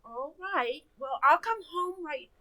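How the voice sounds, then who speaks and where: A woman's voice: high voice, animated, a little slow, pushed and bright, full character voice. A woman playing a helpful, slightly bossy teenage older daughter in an old-time radio sitcom.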